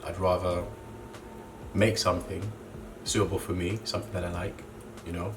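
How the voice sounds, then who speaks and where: A young man who grew up in Zambia, a clothing brand co-founder, talking casually and relaxed, with lots of "you know" fillers. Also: deep voice, moderate pace. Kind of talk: casual interview monologue. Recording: distant mic with clear background noise.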